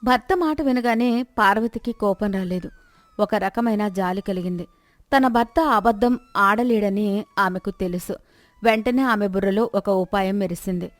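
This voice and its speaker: A woman's voice: mid-range voice, no room sound. A woman narrating a scripted moral story as a storyteller.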